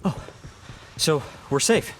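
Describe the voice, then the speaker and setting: A man playing a hesitant doctor in a sci-fi video game, speaking in a measured way. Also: slight background noise, lightly acted, poor audio. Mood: uneasy.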